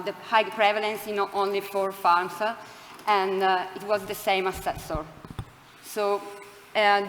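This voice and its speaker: Halting woman speaking in a reverberant hall. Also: medium pitch. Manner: halting, many fillers, searching for words. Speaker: conference presenter answering questions. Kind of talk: hesitant academic Q&A. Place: lecture hall. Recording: hall reverb, faint room noise.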